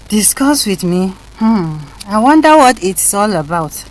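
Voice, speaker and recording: A woman with a Nigerian accent playing a weary, grateful mother in a radio drama, gentle and hesitant. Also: medium pitch, big pitch swings, slow, poor audio. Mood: pensive. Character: weary, grateful mother struggling to raise her children. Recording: music behind the voices.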